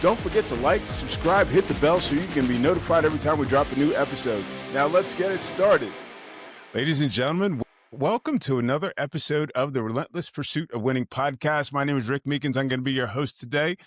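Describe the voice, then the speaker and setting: A man's voice: a little high, fast, breathy edge, soft background music. A man hosting a business podcast, giving an upbeat promotional intro and welcoming his guest in the studio. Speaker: podcast host. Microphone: studio microphone.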